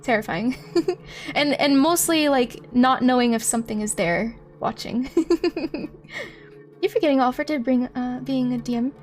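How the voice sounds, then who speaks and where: A giggly, expressive woman hosting a casual livestream, chatting with viewers and reading a story aloud. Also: high-pitched, moderate pace. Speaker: livestream host. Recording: music playing behind the voice. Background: background music.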